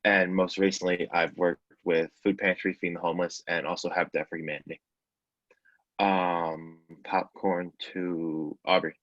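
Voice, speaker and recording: A man's voice: deep voice, level tone, moderate pace, fairly bright tone, no echo, muffled recording. A young man from Pennsylvania introducing himself as a new college student in a plain, matter-of-fact way. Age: young adult.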